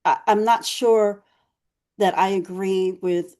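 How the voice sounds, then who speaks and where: Chatty woman with a mellow voice. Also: medium pitch, fairly even intonation, slow pace. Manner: chatty, rambling, many fillers. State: losing her voice, clearing throat. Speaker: podcast co-host. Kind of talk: casual recap chat.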